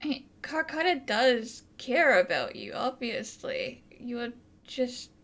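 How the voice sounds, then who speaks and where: A woman hosting a gaming video, giving casual, halting commentary as she reacts to the game. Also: on the high side, big pitch swings, a bit bright.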